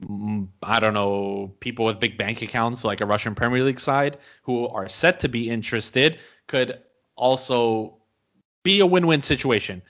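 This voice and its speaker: A young man of mid pitch talks football transfers on a podcast, measured and thinking aloud as he goes. The audio is muffled and lo-fi. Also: fairly bright tone. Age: young adult. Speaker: podcast co-host. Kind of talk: casual sports chat. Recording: dull lo-fi audio.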